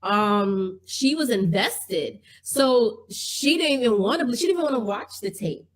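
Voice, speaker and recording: A woman's voice: mid-range voice, expressive, a little slow. A woman co-hosts a casual podcast, keeping up a stream of supportive murmurs. When she tells a story herself she gets hesitant, restarting her phrases as she goes. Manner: supportive, chimes in with affirmations, hesitant when recounting. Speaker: podcast co-host. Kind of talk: casual chat.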